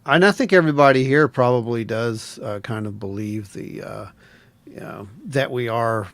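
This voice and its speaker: A man's voice: medium pitch, normal speed, fairly bright tone. A man co-hosting a live talk show, chatting casually and agreeing often, with rambling asides. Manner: agreeable, frequent short affirmations, rambling. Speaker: co-host. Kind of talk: casual live radio chat.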